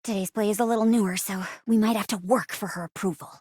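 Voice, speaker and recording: A woman's voice: mid-range voice, fairly even intonation, quick pace, darkish tone, breathy edge, no room sound, studio quality, lightly acted. A woman playing a bubbly, playful, theatrical improv performer in a video game, giggly and chatty. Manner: theatrical, giggly, switches between stage roles. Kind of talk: animated video game character acting.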